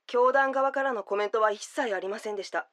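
A woman's voice: fairly high pitch, fast, fairly bright tone, close to an everyday voice. A woman playing a news field reporter in an audio drama, formal and polite with clipped delivery. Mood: urgent, businesslike.

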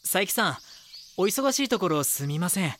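An actor playing a young magazine reporter in an audio drama, polite, earnest and persistent. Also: male voice, high voice, very animated, normal speed, a bit bright, a little hiss or hum. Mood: polite, probing. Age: young adult. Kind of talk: audio drama acting with inner monologue.

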